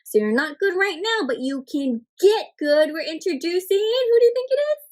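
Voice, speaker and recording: A high-voiced woman reads a picture book aloud for children. She tells it expressively, with exaggerated gasps, and often turns to her young listeners with direct questions. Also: sing-song, moderate pace, darkish tone. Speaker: children's read-aloud host.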